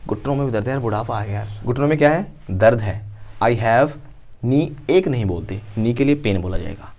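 Playful guy teaching english, acts out small scenes, lapel mic in a dark studio. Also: mid-range voice, lively intonation, normal speed. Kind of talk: spoken English lesson.

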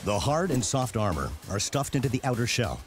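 A man reading scripted television documentary narration in a measured way with dramatic emphasis. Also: mid-range voice, sing-song. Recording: music behind the voice. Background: background music.

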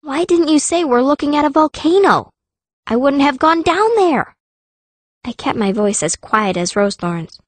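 A woman's voice: high-pitched, animated, moderate pace, no echo. An actress voicing a fierce, blunt young girl mage who narrates an audiobook in the first person. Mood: blunt, exasperated. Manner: blunt, youthful.

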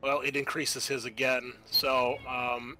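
A man speaking as game master of a tabletop roleplaying game, casual and halting as he thinks aloud. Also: mid-range voice, flat delivery, sharp tone.

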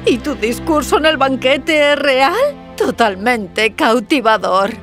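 A woman voicing a haughty, scornful queen who dotes on her favourite, in a cartoon fairy tale, with drawn-out delivery. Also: sits high, a bit bright, faint music behind. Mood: gushing.

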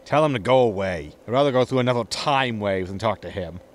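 A man's voice: on the low side, lively intonation, brisk, natural voice. A man playing a sarcastic, casual, grumbling spaceship captain in a sci-fi comedy audio drama. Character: flippant, grumbling male starship captain.

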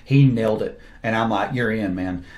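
A man's voice: lowish voice, normal speed. A man, a film director talking enthusiastically about his cast in an interview. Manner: enthusiastic, animated storytelling with quoted asides. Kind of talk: casual interview talk. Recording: roomy echo.

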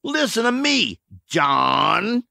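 A man's voice: high voice, big pitch swings, very slow, vocal fry, bright, forceful tone, dry recording, clean studio sound, pitched above the performer's own voice, full character voice. Man putting on a sardonic outlaw. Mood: defiant. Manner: ironic, taunting drawl. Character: rat-faced male outlaw, sardonic and mocking.

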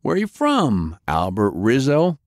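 A man voicing a rough, menacing American interrogator with a slow drawl and a sardonic, threatening manner. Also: deep voice, very animated, dry recording, studio quality, heavily acted.

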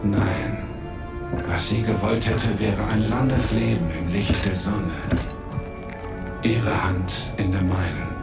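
A man's voice: lowish voice, steady tone, slow, gravelly. A man as a cold, echoing supervillain. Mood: grieving, obsessed. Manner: grave, menacing, solemn. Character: cold, grieving, obsessed male supervillain in armored suit. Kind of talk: German audio drama acting. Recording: echoing, distant, loud music behind.

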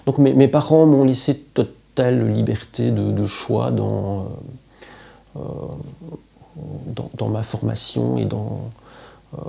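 A man, a poet and literature teacher speaking to camera in an interview, reflective and halting with frequent hesitations. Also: mid-range voice, gravelly, dark tone, breathy edge. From Belgium. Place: indoor room with plants, at home.

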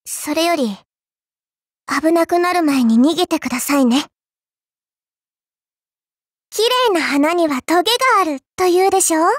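A woman's voice: very high voice, expressive, measured pace, pauses often, no room sound, clean studio sound. A woman voicing a mischievous, teasing little girl villainess in a mobile game, coy and polite with playful menace. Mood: vengeful, defiant, then coy, mocking. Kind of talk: Japanese mobile game character voice lines.

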